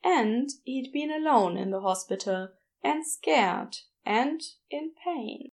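A woman reading fiction as an audiobook narrator, expressive, with wry, deliberate emphasis. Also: high-pitched.

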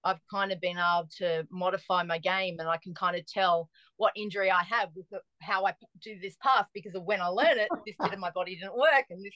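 A woman, chatting as a podcast guest and telling lively stories with frequent restarts. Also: fairly low pitch, fairly quick, few pauses, no room sound, highs cut off. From Australia. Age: 40s. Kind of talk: casual chat.

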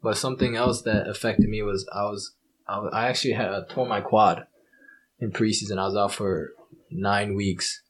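Husky-voiced young man, laid-back chat. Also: lowish voice, normal speed, low-quality recording. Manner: relaxed, swearing, animated when retelling. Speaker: podcast guest, professional soccer player. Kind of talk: casual chat.

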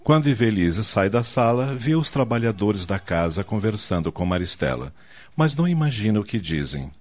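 A man's voice: on the low side, very animated, normal speed. A man narrating a radio soap opera in a dramatic scripted style. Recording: dull lo-fi audio with music.

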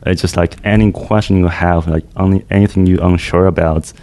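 A man's voice: low voice, fairly even intonation, a little fast, warm tone. A man from China, a guest developer, chatting loosely in an interview with frequent fillers. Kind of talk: casual interview chat. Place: conference venue.

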